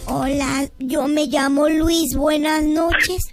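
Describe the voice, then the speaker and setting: Niño pequeño, llorón y pegajoso, hecho por una mujer: voz agudísima, música fuerte de fondo. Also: female voice, high-pitched, fairly even intonation, slow. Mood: pleading. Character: whiny little boy, tearful and clingy. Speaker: radio prank caller. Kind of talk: radio prank call. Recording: loud music underneath.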